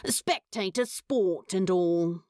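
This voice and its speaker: A woman's voice: fairly low pitch, slow pace, darkish tone, no room sound, clean studio sound. A woman voicing a cocky, dramatic demon in a fan fiction audiobook, drawling and grumbling with theatrical grievance. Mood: aggrieved, sullen. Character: cocky dramatic demon, sullen yet showy, theatrically aggrieved.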